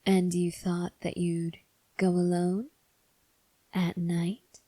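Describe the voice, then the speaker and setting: A woman playing a calm, probing female vampire in an ASMR roleplay, speaking softly and breathily with deliberate pauses. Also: fairly low pitch, dry recording. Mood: gently coaxing. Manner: soft, breathy, measured delivery with deliberate pauses. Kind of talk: ASMR roleplay addressed to the listener.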